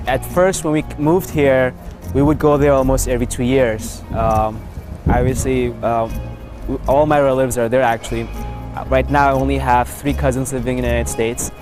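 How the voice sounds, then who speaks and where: A young man from Indonesia, a native Sundanese speaker, talks at an ordinary middle pitch. His story comes out unhurried and easygoing, with pauses on "uh" and "um". Clear music plays behind him. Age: 20s. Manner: relaxed, frequent filler pauses. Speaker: interviewee. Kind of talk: casual personal storytelling.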